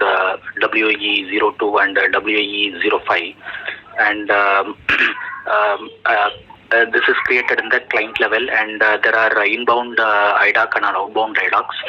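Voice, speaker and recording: A man with an Indian accent answering technical questions as a job candidate over the telephone, halting often and searching for words. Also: lowish voice. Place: telephone call. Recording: muffled, dull telephone interview recording.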